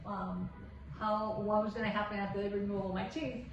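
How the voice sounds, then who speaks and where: A woman from Michigan, a patient, speaking earnestly and haltingly about her treatment. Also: mid-range voice, fairly even intonation. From Michigan, USA. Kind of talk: patient testimonial. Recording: echoey room, far from mic, dull and noisy.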